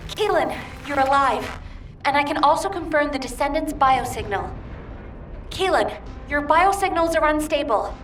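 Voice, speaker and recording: An earnest mission control operator from a video game. Her voice is high, formal and concerned. It sounds roomy and distant, with faint noise. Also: expressive, normal speed, fairly bright tone. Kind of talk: video game radio dialogue.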